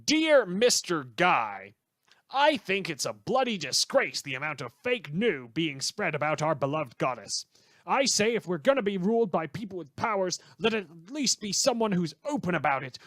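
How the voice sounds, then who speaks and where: A man's voice: a little high, a bit bright, dry recording. A man voicing a disgruntled, blustering gun owner reading out an angry complaint letter in a radio satire. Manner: blustering, emphatic. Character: indignant, disgruntled male gun-owning listener writing in.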